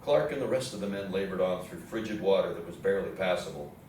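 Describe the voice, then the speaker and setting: Echoey, low-voiced man narrating history. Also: fast talker, a little mellow. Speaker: narrator in a readers theatre play. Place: indoor theatre room with audience program. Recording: echoey hall, distant mic, faint noise.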